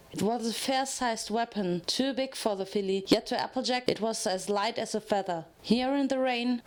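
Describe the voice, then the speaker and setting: A woman narrating a scripted story as a dramatic reading. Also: medium pitch.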